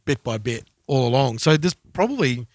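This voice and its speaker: Measured man chatting about music. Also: medium pitch, sing-song, no echo, clean studio sound. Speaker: podcast co-host. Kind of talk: casual music discussion.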